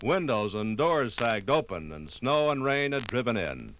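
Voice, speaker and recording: An American man narrates a 1950s radio documentary in a formal, theatrical style with measured phrasing. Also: mid-range voice, sing-song, fairly bright tone, a little hiss or hum. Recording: vintage 1950s radio recording.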